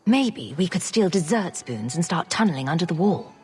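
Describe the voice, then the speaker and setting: A woman's voice: lowish voice, sing-song, moderate pace, darkish tone, faint music behind. An actress playing a confident female adventurer in a video game, with a dry, teasing, sardonic manner. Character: confident, dryly witty female champion adventurer.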